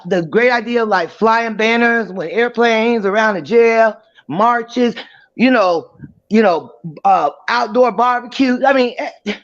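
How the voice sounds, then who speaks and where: High-voiced man on a dull line. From US South. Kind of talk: casual opinionated panel commentary.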